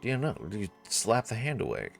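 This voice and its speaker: A man's voice: medium pitch, huge pitch swings, normal speed, creaky, faint music behind. A man narrating a visual novel story, slipping in wry, informal asides as commentary.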